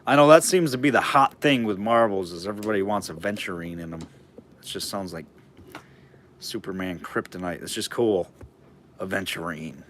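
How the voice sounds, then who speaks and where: A man running a live online auction, calling bids and chatting loosely about the items, his throat getting hoarse. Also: sits low, moderate pace, fairly bright tone, slight background noise. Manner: chatty, rambling asides between bid calls.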